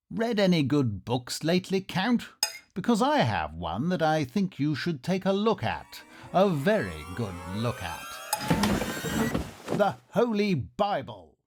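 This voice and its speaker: Jittery victorian gentleman in a radio play, very dramatic, voice goes up and down loads. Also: a little high, very noisy, full character voice. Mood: slyly probing. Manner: theatrical, formal, melodramatic. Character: nervous, suspicious Victorian nobleman, lost castle guest. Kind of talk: old-time radio theatre comedy acting.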